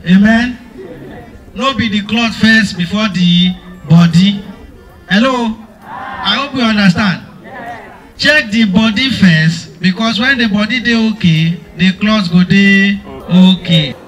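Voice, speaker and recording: A Nigerian man gives instructions to a gathering in a high-pitched voice. He checks in with listeners like a preacher, and he sounds distant from the microphone. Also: slow pace, fairly bright tone. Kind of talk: instructing a crowd in Nigerian Pidgin. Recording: voice far from the mic.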